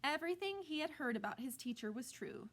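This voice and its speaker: Higher-voiced woman reading a children's book aloud. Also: animated, a little fast, fairly bright tone.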